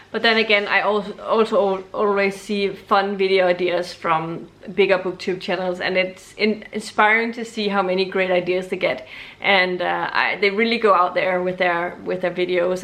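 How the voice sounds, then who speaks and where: A woman's voice: on the low side, a bit bright. A young Danish woman, speaking English as a second language, chatting casually to camera about books with many ums and restarts. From Denmark. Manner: hesitant, many ums and restarts, deliberately slow. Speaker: book vlogger.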